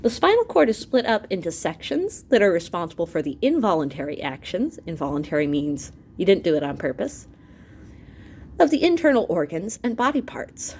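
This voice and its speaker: A woman reading an educational science book aloud as a teacher, expressive and explanatory, pausing to clarify terms. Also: lowish voice, slightly rough.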